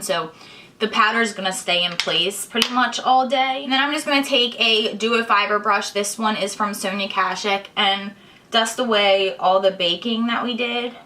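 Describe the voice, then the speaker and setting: A young woman, hoarse and congested, chatting casually while she explains each step of her makeup tutorial. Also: mid-range voice, pushed and bright, a little hiss or hum. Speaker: beauty vlogger. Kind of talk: chatty get-ready-with-me tutorial.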